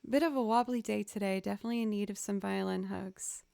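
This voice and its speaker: A woman hosting a live music stream, chatting casually with viewers in a soft, raspy voice. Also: medium pitch, fairly even intonation, fast talker, dry recording. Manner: quiet, gentle, laid-back talk. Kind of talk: casual livestream chat with viewers.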